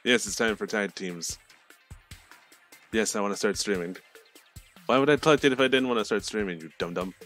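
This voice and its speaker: A man's voice: lowish voice, moderate pace, long pauses, a bit bright, faint music behind. A man chatting casually as a game streamer, teasing and swearing freely. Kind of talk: casual chat while livestreaming a game. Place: live game stream, music behind.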